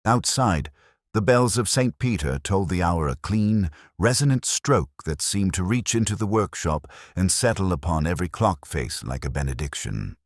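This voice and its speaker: A man playing a melancholy older detective inspector, narrating case notes in a measured, reflective, literary way. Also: low voice, animated, no echo, clean studio sound. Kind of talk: scripted first-person literary narration.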